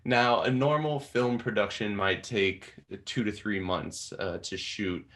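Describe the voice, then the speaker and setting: A man with a fairly low voice puts steady, plainly worded questions to his guest. He speaks evenly as the interviewer. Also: a little slow.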